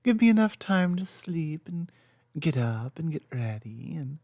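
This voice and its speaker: A woman playing an affectionate, teasing lamia girlfriend in an intimate ASMR roleplay, speaking softly and confidently with playful asides. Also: low voice, big pitch swings, unhurried, dry recording, heavily acted. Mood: affectionate, lighthearted.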